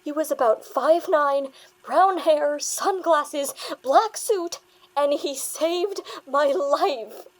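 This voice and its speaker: A woman plays a young female patient in an audio drama, fed up with being doubted. Her voice is high, and she talks back with sarcasm and combative exasperation. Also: slow pace, rough recording. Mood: agitated. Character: defiant, exasperated young female therapy patient insisting she was saved.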